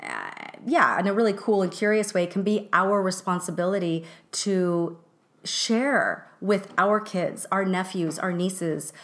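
A woman's voice: on the low side, a little slow, fairly bright tone. A middle-aged woman co-hosting a podcast, talking casually with many restarts and fillers. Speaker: podcast co-host.

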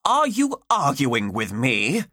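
A man's voice: medium pitch, wildly swooping pitch, takes it slowly, bright, forceful tone, no room sound, studio quality, pitched above the performer's own voice, full character voice. A man voicing a furious, sarcastic woman schoolteacher with a severe, emphatic delivery in an audiobook story.

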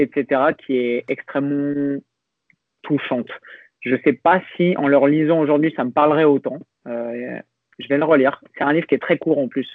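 Young man, slightly high voice, reflective and halting, thin phone-like sound. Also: no room sound. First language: French. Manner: reflective, hesitant with many restarts and fillers. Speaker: podcast guest. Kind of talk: casual conversational interview. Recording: dry, phone-like narrow sound.

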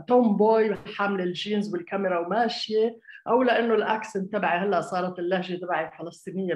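Fast-talking woman speaker in an echoey room. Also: medium pitch. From Jordan. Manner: hesitant, with many fillers and restarts. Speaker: architect giving a talk. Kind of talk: conversational public talk.

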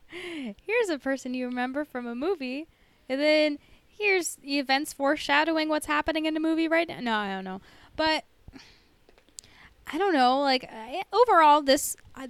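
A woman's voice: high-pitched, lively intonation, moderate pace, long pauses, no echo. A woman co-hosting a casual podcast chat, speaking informally with swearing and plenty of filler "like". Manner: informal, profane, heavy use of "like". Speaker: podcast co-host. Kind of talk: casual chat reviewing a TV episode.

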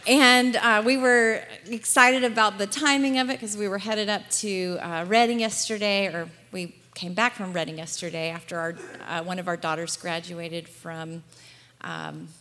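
A middle-aged woman with a Southern US accent, chatting warmly from the pulpit with frequent laughs. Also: mid-range voice, fairly even intonation, unhurried. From Alabama. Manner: chatty, upbeat, laughs often. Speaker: guest speaker. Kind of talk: church address. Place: church sanctuary. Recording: long church hall reverb.

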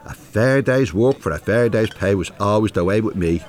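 A man's voice: fairly low pitch, normal speed, darkish tone, lightly acted. An actor playing a plain-spoken, good-hearted older retired binman with a north Dublin accent in a radio drama. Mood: proud, earnest. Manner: earnest, folksy, bantering. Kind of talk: naturalistic radio drama acting.

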